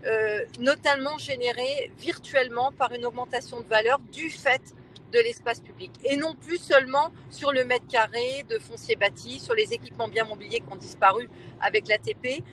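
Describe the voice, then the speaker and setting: A woman, a local elected official, explaining her position in a panel discussion, emphatic and persuasive, with hesitant pauses. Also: a little high, fairly bright tone, a little hiss or hum, poor audio.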